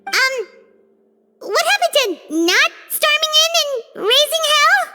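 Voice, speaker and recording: A tiny, chirpy female companion from a video game, nosy and quick to tease. Her voice sits extremely high and bounces all over, stretched out slowly. Music plays clearly behind her. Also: very animated, exaggerated voice. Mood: teasing. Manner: nosy, teasing, blunt.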